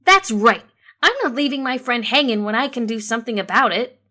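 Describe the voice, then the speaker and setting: Woman as brash young female cartoon pegasus, high and forceful, blunt and impatient. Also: a little fast. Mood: defiant. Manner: blunt, emphatic.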